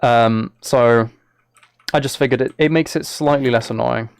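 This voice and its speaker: A man's voice: mid-range voice, fairly even intonation, moderate pace, gravelly, clean tone. A man chatting casually on a live game stream, thinking aloud as he plays and talking to his viewers.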